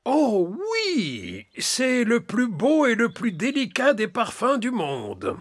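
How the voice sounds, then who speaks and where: An actor playing a kindly old grandpa pig in a preschool cartoon, explaining things gently to children. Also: male voice, extremely high pitch, wildly swooping pitch, slow, no room sound, clean studio sound, heavily acted. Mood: playful, kindly. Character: kindly old grandpa pig who loves his garden. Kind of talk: dubbed preschool cartoon acting.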